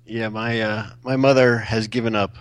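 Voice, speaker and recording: A man's voice: on the low side, steady tone, moderate pace, dry recording. A man in a casual podcast chat, laid-back and matter-of-fact. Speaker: podcast co-host.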